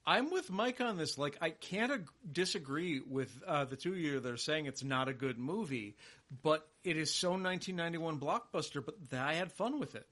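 A man with a slightly higher voice rambles as he talks, pausing with fillers while he hunts for words. He speaks in a relaxed, casual podcast exchange about films. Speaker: podcast co-host. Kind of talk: casual movie review chat.

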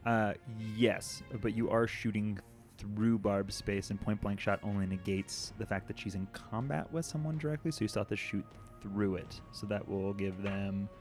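A man running a tabletop role-playing game as game master, explaining the rules in a relaxed way. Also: lowish voice, normal speed, soft background music. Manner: relaxed and explanatory, laughs easily.

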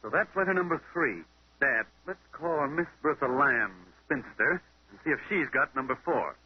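An actor playing a shrewd, composed detective in a 1930s radio mystery, speaking politely and measuredly, on an old broadcast recording. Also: male voice, mid-range voice, lively intonation, highs cut off, a little hiss or hum, close to an everyday voice. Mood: polite, probing. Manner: measured, probing.

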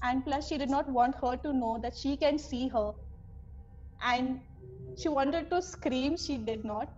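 High-voiced woman telling a ghost story. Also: unhurried. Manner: animated retelling with acted-out quotes. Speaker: guest storyteller. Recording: dull lo-fi audio with constant noise.

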